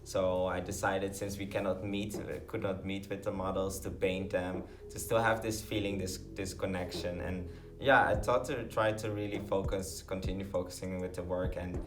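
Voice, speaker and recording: Young man, from Curaçao, very deep voice, monotone, measured, thoughtful, music underneath. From Curaçao, raised in the Netherlands. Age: 20s. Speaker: fashion student. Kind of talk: recorded interview answers.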